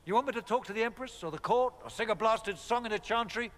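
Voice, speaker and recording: 高めで鋭い声の英国訛りの傭兵隊長. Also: male voice, sits high, fast, a bit bright. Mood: eager, blunt. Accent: British. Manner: blunt, swearing, exasperated. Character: gruff, foul-mouthed mercenary captain, blunt and indignant. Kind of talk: fantasy video game voice acting.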